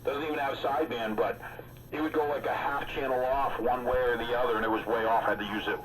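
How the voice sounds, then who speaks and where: A man's voice: mid-range voice, steady tone, fast, slight background noise, poor audio. A man chatting casually as a regular on a radio hobby stream, talkative and joking in a folksy way. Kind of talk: casual chat.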